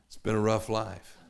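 A man, preaching a sermon. His delivery swings from loud, fervent preaching to quiet personal asides. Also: on the low side, creaky. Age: 50s.

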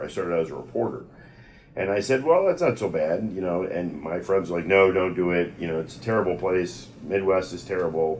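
Middle-aged American man, low register, interview guest spinning rambling personal anecdotes conversationally. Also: darkish tone, a little hiss or hum. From United States (Yonkers, New York). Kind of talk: conversational oral-history storytelling.

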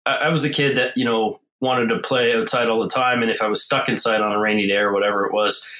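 Man, forties, low voice, rambling casual talk, podcast guest. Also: steady tone, quick pace, sharp tone. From Canada. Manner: rambling, many fillers and restarts. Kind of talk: casual interview conversation.

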